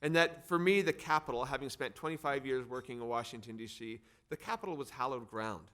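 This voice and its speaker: A man's voice: mid-range voice, brisk, fairly bright tone. A middle-aged man from the United States with an American accent, hosting an event with a semi-formal, partly off-the-cuff speech, repeating words for emphasis. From United States, Arizona. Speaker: think tank head hosting and introducing speakers.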